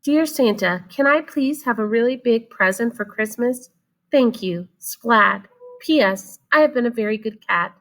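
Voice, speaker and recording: A woman's voice: a little high, animated, unhurried, fairly bright tone, pitched above the performer's own voice, low-quality recording. A woman voicing an earnest, self-satisfied young boy cat in a children's storybook, with an exclamatory way of talking. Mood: boastful, eager.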